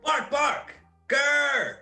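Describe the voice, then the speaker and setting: A performer voicing a small, happy, excitable pet dog in an amateur audio drama. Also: sits high, drawn-out words, creaky, soft background music, exaggerated voice. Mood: excited. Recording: echoey video-call recording.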